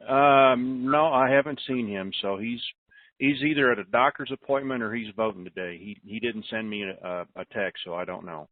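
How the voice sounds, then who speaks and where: A man's voice: medium pitch, moderate pace, dry recording. A man hosting a call-in conference, speaking casually in brief, polite turns. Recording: dry narrow phone-like call audio.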